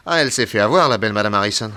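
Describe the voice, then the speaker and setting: A cool male detective in a radio crime drama. His voice sits low and leaps about with lively intonation. He is amused, self-assured and theatrical, enjoying the upper hand. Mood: amused, brisk. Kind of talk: French detective radio play acting.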